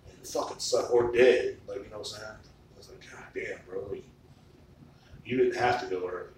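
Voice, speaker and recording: A man's voice: lowish voice, normal speed. Man in his thirties, distant and echoey. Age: 30s. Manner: loose, profane storytelling with frequent "like". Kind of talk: casual chat. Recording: echoey room, far from the mic, faint noise.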